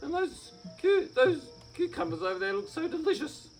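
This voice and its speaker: A man voicing a greedy, cheeky hoofed creature in a told story, with high excited squeals and teasing talk. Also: moderate pace, soft background music.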